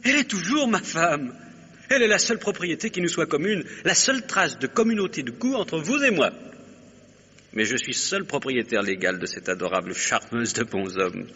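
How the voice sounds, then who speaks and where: An actor playing a mocking, grandiloquent ex-husband, a flippant and smug schemer in a French radio mystery play. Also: male voice, fairly high pitch, expressive, normal speed, bright, forceful tone, breathy edge, highs cut off, full character voice. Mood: smug, gloating. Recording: long reverberant hall sound.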